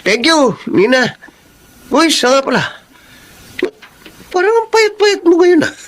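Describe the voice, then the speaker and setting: An actress playing a cheeky, flattering, idle former classmate in a radio drama, teasing with a drawl. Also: female voice, high voice, slow, full character voice.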